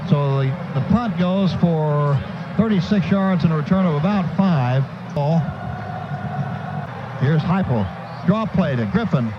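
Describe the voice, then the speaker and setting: A high-voiced American man calls football play by play on the radio. His clipped running phrases swell as the play develops. The broadcast is muffled, with clear noise behind him. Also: lively intonation, unhurried. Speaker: play-by-play announcer. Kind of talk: live radio football commentary. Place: stadium broadcast booth.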